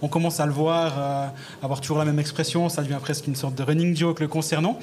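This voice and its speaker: A man's voice: fairly high pitch, fairly even intonation, quick pace, darkish tone, a little hiss or hum. A man co-hosting a live podcast in a bar, asking easygoing, joking questions. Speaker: podcast co-host. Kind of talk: casual live interview. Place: bar, live audience event.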